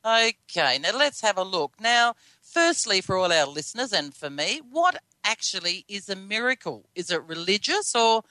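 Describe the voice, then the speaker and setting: Bright-voiced Australian woman hosting radio. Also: medium pitch, very animated, normal speed, hardly any pauses, no echo. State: slightly scratchy voice, losing it. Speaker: radio show host. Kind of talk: live radio hosting and interviewing.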